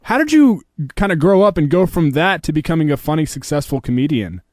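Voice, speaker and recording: A man's voice: a little high, very animated, brisk, darkish tone, no room sound, clean studio sound. A man from Morocco co-hosting a radio show, joking and teasing. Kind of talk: casual interview.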